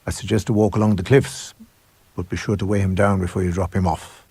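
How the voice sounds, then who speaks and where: A man's voice: deep voice, little pitch movement, brisk, warm tone, husky, dry recording. A man voicing a proud, sinister older school headmaster in a supernatural tale, pompous and theatrical in his delivery. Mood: brisk, callous. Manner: theatrical, declamatory, grandiose.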